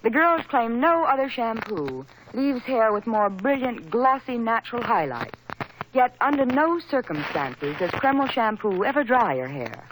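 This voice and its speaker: A woman's voice: on the high side, very animated, a little slow. A woman acting as a poised beauty adviser in a 1940s radio commercial, polished and emphatic, on an old recording. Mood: poised, selling. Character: poised female beauty adviser praising a product. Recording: noisy 1940s radio broadcast with clicks.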